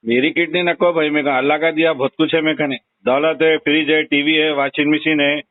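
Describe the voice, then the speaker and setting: A man with a Hyderabadi accent speaks in a fairly high voice. He is blunt and insistent in a casual, conversational way. The sound is a narrow, dry telephone recording with very little room in it. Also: level tone, a little fast, no echo. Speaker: phone call recipient. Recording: tinny narrowband phone call recording.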